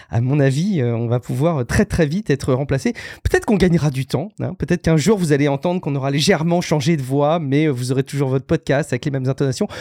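A man's voice: on the high side, darkish tone, no echo, studio quality. A man hosting a tech podcast, talking with enthusiasm and turning to the listeners directly. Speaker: podcast host. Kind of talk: casual tech podcast chat.

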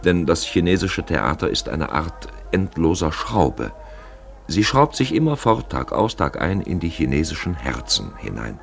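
A man narrating a literary radio feature in an emphatic, wry storytelling manner, with a gravelly voice. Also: extremely low pitch, sing-song, measured pace. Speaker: narrator and presenter. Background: music.

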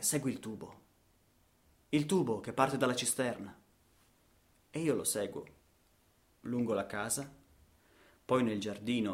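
A man performing a radio theatre monologue as a storyteller, with dramatic, rhythmic delivery full of repetitions and rhetorical questions. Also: medium pitch. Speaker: actor and storyteller.

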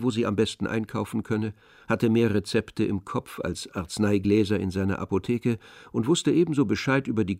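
A man's voice: on the low side, vocal fry, darkish tone, no room sound, studio quality. A man reading scripted literary narration for an audio drama.

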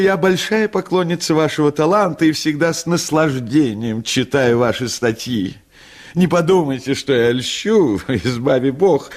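A high, fluttery voice of a middle-aged lady, acted by a male reader. She is nervous and effusive, halting between phrases and drawing out her pleas. She is a pushy amateur writer from a comic short story. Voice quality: high, tenor-like voice.

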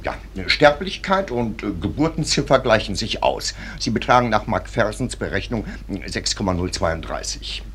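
A man's voice: on the low side, big pitch swings, a bit bright, slight background noise. A man acting a pedantic, fussy professor who hems and haws through dry facts in a radio play. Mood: fussy, halting. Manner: pedantic, halting, hemming.

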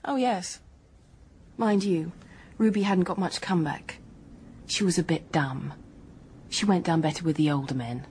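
An actress playing a young, guarded, matter-of-fact English dance hostess in a radio drama, speaking in a brisk, frank, colloquial way. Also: female voice, lowish voice, darkish tone, a little hiss or hum, natural voice. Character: hard-headed working-class hotel dance hostess, guarded, matter-of-fact.

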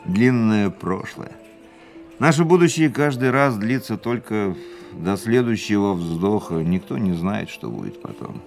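An actor playing a fatalistic, world-weary racing driver in a Russian radio drama, speaking in a measured, reflective way. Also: male voice, lowish voice, animated, vocal fry, darkish tone. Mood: weary, philosophical. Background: music.

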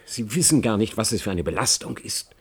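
A man's voice: fairly low pitch, expressive, a little fast, slightly rough. A man playing a cornered, scheming middle-aged university professor in a German radio play, speaking in formal, dramatic phrases. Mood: pleading. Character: cornered, scheming male university professor, pleading yet indignant.

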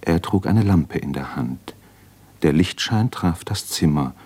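A man's voice: deep voice, lively intonation, a little slow, a little mellow, breathy edge, full character voice. An actor playing a brooding, sensitive man recalling his youth in an introspective, intense radio drama monologue. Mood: embarrassed, fascinated.

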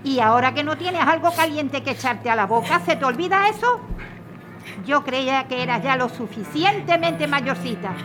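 A woman's voice: high voice, lively intonation, moderate pace, music in the background. An actress playing a weary, firm mother who scolds her daughter in a radio drama. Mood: scolding. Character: weary, stern mother fleeing on foot.

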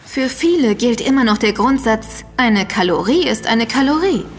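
An actress playing a quick-witted, knowing female investigator in a German audio drama, with an explanatory way of talking. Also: a little high, animated, breathy edge. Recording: reverberant hall with music underneath.

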